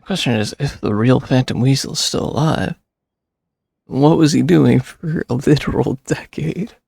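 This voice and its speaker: Gravelly man streaming a game. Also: mid-range voice, moderate pace, darkish tone, no echo, clean studio sound. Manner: muttering to himself, rambling. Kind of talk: casual gameplay commentary. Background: game music.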